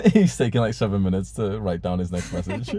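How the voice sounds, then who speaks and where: A low-pitched man whose first language is Urdu chats easily on his livestream. His voice is dark and mellow, and he swears casually and calls everyone "bro" and "man" as he reads out donation messages. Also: very animated, moderate pace. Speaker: livestream host.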